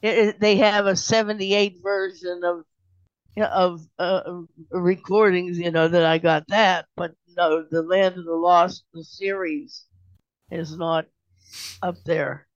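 A man talking casually in a group discussion, halting and repeating his words as he asks and explains. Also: high-pitched, little pitch movement, dry recording, muffled recording. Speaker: participant in an old-time radio discussion group.

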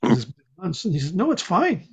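A man's voice: sits high, wildly swooping pitch, fairly quick, slightly rough. An older man chatting casually, telling meandering stories and correcting himself as he goes. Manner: meandering storytelling, self-correcting. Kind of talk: casual chat. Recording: dull lo-fi audio.

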